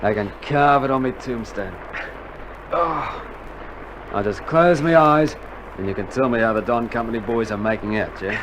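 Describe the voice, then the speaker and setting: An actor playing a cheeky, excitable younger Australian infantryman in 1960s radio drama style, full of slang. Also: normal speed, natural voice. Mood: tired, joking. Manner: slangy, laconic, then excitable. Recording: very noisy old vinyl with artillery rumble. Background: artillery and battle sound effects.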